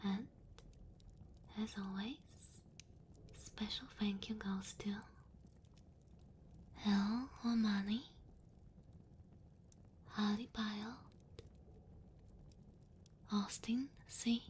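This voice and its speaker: A female ASMR creator talks to her listeners in a breathy whisper. She is unhurried and sits at a medium pitch, with faint ambience underneath. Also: steady tone, darkish tone, a little hiss or hum. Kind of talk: whispered ASMR outro, thanking patrons.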